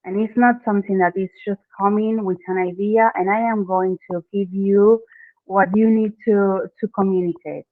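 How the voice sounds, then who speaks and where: A woman's voice: mid-range voice, fairly even intonation, moderate pace, dry recording. Argentine woman, spanish first language, walking through her method plainly, tinny dry call audio. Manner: earnest, explains step by step in non-native English with "okay". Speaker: guest, branding expert. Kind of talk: podcast interview.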